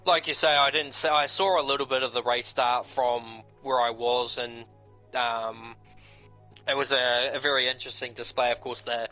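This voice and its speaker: A man's voice: medium pitch, little pitch movement, normal speed, pushed and bright, dull audio, faint music behind. A man co-commentating an online racing broadcast in a blunt, laid-back, casual way.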